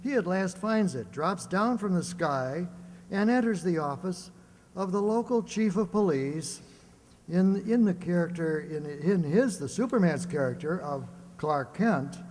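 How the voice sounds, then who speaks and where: A man playing a dramatic old-time radio serial announcer, declamatory, measured and suspenseful. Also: high voice, expressive, a little mellow, in a large hall, a little hiss or hum. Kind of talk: 1940s radio serial narration.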